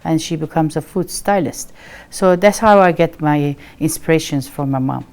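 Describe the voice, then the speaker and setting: Low-voiced woman from Turkey. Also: moderate pace, darkish tone. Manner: plain, hesitant answers. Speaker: guest, chef and table stylist. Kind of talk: studio interview. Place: TV studio.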